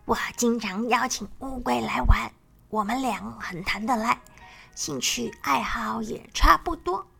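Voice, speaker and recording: A woman voicing a sly, cunning male caiman who talks low and half-whispered. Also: unhurried, creaky, bright, forceful tone, slightly rough, exaggerated voice. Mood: boastful.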